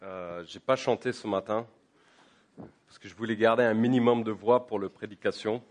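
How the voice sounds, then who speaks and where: A man preaching a sermon while he has a head cold. He talks conversationally, with many fillers and repeated words, and his voice climbs higher and louder as he builds to a point. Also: fairly even intonation, normal speed. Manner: rises in pitch and volume when building to a point. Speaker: pastor. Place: church.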